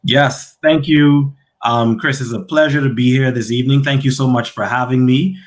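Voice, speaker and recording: This is a man in his thirties with a medium-pitched voice. He answers as an interview guest, conversational yet articulate. His manner is polite and explanatory. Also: steady tone, normal speed. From the Bahamas. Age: 30s. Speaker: guest, senator and attorney.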